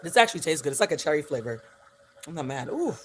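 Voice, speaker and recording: A husky-voiced host in their forties talks straight to the camera in a loose, unplanned vlog. The delivery is chatty and frank, with plenty of casual swearing. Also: mid-range voice, big pitch swings, quick pace, a little hiss or hum. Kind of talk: casual impromptu chat.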